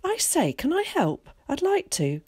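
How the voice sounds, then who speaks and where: A woman voicing a confident, talkative young schoolboy who chatters quickly and brightly in a well-spoken way. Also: high voice, wildly swooping pitch, no echo, studio quality, voice pushed up, exaggerated voice. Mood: eager.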